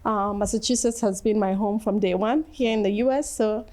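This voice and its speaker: A woman's voice: medium pitch, fairly even intonation, moderate pace, warm tone. A middle-aged woman from Ghana, answering interview questions in a chatty way and laughing often between phrases. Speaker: fashion designer guest.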